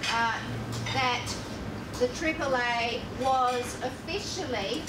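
Slow, high-voiced Australian woman speaking. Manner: hesitant, many fillers and restarts. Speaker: speech pathologist giving a history talk. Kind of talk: unscripted speech at a dinner. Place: function room with curtains, indoors. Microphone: far camera. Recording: echoey room, distant mic, loud hum.

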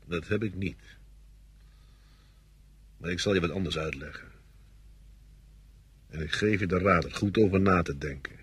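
A man's voice: sits low, moderate pace, long pauses, fairly bright tone, breathy edge, exaggerated voice. An actor playing an arrogant, cowardly army officer in a radio play, condescending and threatening as he bargains. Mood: coldly threatening. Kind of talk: Dutch radio play acting.

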